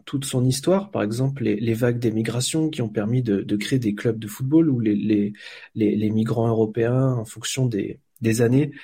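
Brief: man from France, interview guest, husky voice, halting delivery full of "euh" and restarts, mid-pitched. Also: mellow. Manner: hesitant, many fillers and restarts. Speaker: guest, volunteer football blogger. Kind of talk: casual interview answers.

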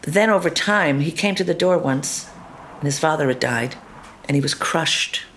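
A woman actor being interviewed about her role, talking animatedly, jumping between loud asides and soft murmurs, often ending with tag questions. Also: low-pitched, expressive, normal speed, a little hiss or hum. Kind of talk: conversational interview.

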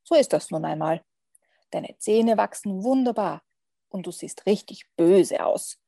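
Woman playing vampire mum, storybook, proud, doting, coaxing, mellow, slightly gravelly. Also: medium pitch, expressive, a little slow, no echo, studio quality. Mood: encouraging. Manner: coaxing, reassuring.

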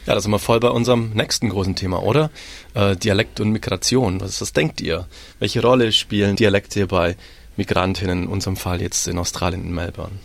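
Man, discussion host, gravelly voice, fairly low pitch. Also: fairly even intonation, brisk, no echo. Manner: engaged, asks the panel questions. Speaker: host, moderator. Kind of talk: panel discussion.

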